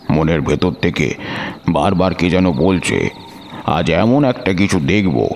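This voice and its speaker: An actor playing an aging tantric storyteller who muses slowly, in old-fashioned phrasing, about his past. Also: very deep voice. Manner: slow, musing, old-fashioned speech. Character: aging male tantric storyteller, weary and reflective. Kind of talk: audio drama narration and dialogue.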